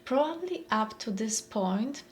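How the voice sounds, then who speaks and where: A woman's voice: fairly high pitch, expressive, unhurried, slight background noise. A woman in her forties with a Polish accent, giving a reflective motivational talk and repeating phrases as she searches for words. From Poland. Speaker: mindfulness speaker.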